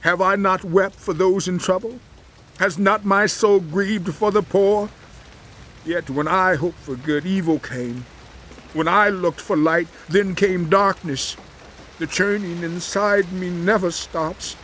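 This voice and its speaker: An actor playing a suffering righteous man in a dramatized audio Bible, with solemn, impassioned, measured delivery. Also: high-pitched, a bit bright, a little hiss or hum. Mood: anguished, pleading.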